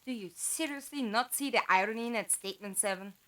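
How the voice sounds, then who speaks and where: A woman's voice: a little high, fast, a bit bright, close to an everyday voice, low-quality recording. A woman acting a sensible, dry-witted, loyal character in an audio drama. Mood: skeptical, dry.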